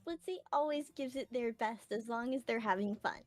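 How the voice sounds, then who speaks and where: A woman's voice: on the high side, moderate pace, soft background music, natural voice. A woman voicing a cheerful, scatterbrained teenage girl with a bubbly, eager way of talking. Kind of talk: fan voice acting of a visual novel.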